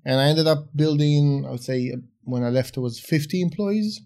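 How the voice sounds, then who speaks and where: A man's voice: on the high side, animated, normal speed, darkish tone. A man in his forties who grew up in Israel, a startup investor chatting frankly in an interview, joking and filling gaps with "uh". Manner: frank and joking, with frequent "uh" fillers. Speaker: guest, venture investor. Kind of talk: casual interview chat.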